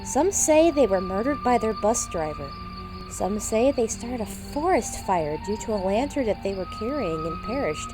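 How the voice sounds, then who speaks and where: Woman as an animated, higher-voiced storytelling engine. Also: normal speed. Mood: eerie, earnest. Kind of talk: audio drama character acting. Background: music, night insects.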